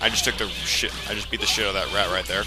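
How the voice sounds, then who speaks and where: Male let's play host, lowish voice, casual and explanatory, rambles, game music underneath. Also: steady tone, racing. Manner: rambling, explanatory, frequent profanity. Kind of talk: casual video game commentary. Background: video game music and sound.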